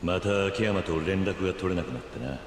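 A man's voice: deep voice, fairly quick, slight background noise, lightly acted. A male actor playing a stern, resolute middle-aged yakuza veteran in a video game, speaking in terse, grave, blunt phrases. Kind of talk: dramatic video game acting.

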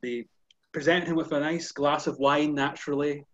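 A man's voice: a little high, fairly even intonation, normal speed, fairly bright tone. A man in his thirties with a Scottish accent, a golf journalist talking fluently on a video call, with lots of "you know" fillers. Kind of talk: casual video-call chat. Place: indoor room with curtains behind. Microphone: headphones on a video call. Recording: dull, dry video call audio.

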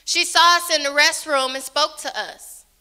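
A woman playing a young girl, speaking with measured dramatic pauses into a handheld mic. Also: sits high, fairly even intonation, bright, forceful tone. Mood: solemn. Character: young girl victim, solemn. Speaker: actor in a church skit.

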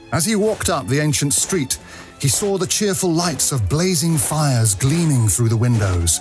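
A man narrating an audio drama as a scripted, dramatic storyteller. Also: fairly high pitch.

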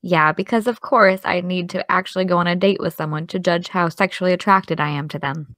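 A woman's voice: fairly low pitch, fairly even intonation, brisk, a bit bright, no room sound, lightly acted. A young actress playing an exasperated, defensive young woman with dry comebacks in an audio drama. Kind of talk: audio drama dialogue of a video call.

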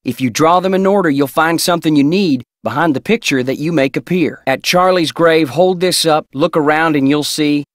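A lovestruck Old West outlaw played by a man for a video game, with a fairly high male voice. He reads his letters in an earnest, folksy, deliberate manner. The sound is dry and close. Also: no echo, lightly acted. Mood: instructive, measured. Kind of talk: acted reading of love letters.

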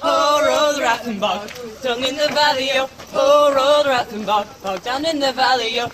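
High-voiced man chanting a scout song. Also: normal speed, background noise. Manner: chanting loudly, sing-song. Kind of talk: group campfire song, call and response. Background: crowd of young people singing along.

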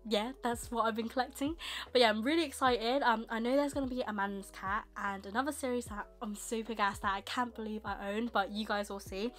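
A young woman with a slightly husky voice from a tickly throat, rambling casually through a manga haul in her bedroom. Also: fairly high pitch, a bit bright. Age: young adult. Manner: rambling, chatty, quick asides. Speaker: manga vlogger. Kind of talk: casual chatty haul vlog. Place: bedroom with manga shelves, indoors.

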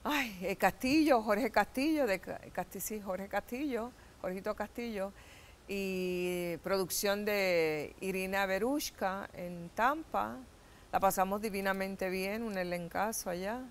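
Woman, Puerto Rican accent, middle-aged, lively storyteller, TV studio, faint background hum. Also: mid-range voice, a little slow. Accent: Puerto Rican Spanish. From Puerto Rico. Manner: animated storytelling with gestures, laughs and acted-out quotes. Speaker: actress and guest. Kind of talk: TV studio interview. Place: TV studio set.

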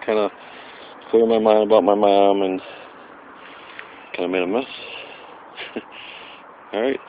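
A man chatting excitedly while digging for old bottles in the woods, recorded on a handheld camera. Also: on the low side. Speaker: metal detecting vlogger. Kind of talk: casual outdoor vlog narration. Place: wooded area outdoors. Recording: tinny lo-fi camera audio with noise.

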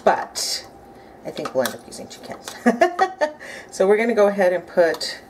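A woman's voice: medium pitch, huge pitch swings, a little slow, darkish tone. Woman, 30s, home cooking vlog, chatty, upbeat, kitchen noise, clinking utensils. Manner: chatty, upbeat, thinks aloud while cooking. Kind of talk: casual cook-along narration. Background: clinking cutlery and pots.

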